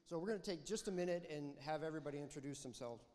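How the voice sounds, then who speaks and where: A man hosting a church service, giving a welcome and a prayer in a halting way with many fillers. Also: on the high side, darkish tone, a little hiss or hum. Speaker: church host leading a service. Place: church sanctuary. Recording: long reverb of a church hall.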